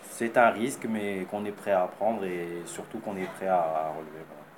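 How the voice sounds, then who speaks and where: A man, an investor behind a concept store, answering interview questions in quick, repetitive, stumbling talk. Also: deep voice, steady tone, crowd noise.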